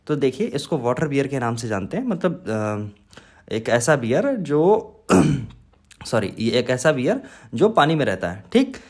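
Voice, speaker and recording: A man gives an informal exam-prep lecture in a mid-pitched voice. He sounds like he has a bad cold and a sore throat. He often punctuates his explanations with little check-in questions to his students. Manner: frequent check words like "right?" and "okay?". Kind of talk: informal lecture on current affairs.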